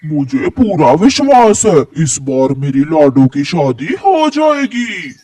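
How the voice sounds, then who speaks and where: An actor playing an earnest, doting father crow in a cartoon, with a measured delivery. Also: fairly high pitch, very animated, warm tone, no echo, exaggerated voice. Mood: proud, hopeful.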